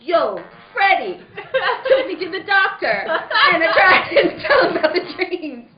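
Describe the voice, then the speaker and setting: A woman's voice: extremely high pitch, sing-song, measured pace, faint music behind. A woman doing a playful radio-play reading, slipping between narration and casual banter. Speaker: guest actor in a live radio-play reading. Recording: roomy, dull recording with crowd noise.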